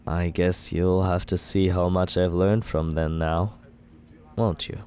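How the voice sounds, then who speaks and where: A man in an ASMR roleplay as a villain, talking smoothly and persuasively in a teasing, flirtatious way. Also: deep voice, fairly even intonation, slow pace, darkish tone, a little hiss or hum.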